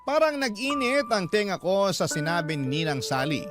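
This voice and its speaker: A man's voice: sits high, sing-song, normal speed. A man narrating a radio drama in scripted first-person storytelling style. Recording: music bed behind the narration.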